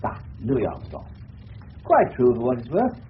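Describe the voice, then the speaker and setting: An actor playing an eccentric, shrewd older English general who questions people in a genial, digressive, slightly pompous way in a radio drama. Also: male voice, mid-range voice, huge pitch swings, a little slow. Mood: measured, knowing. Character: eccentric, shrewd older gentleman general turned amateur detective. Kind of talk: 1970s radio drama acting. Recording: dull, noisy old radio broadcast recording.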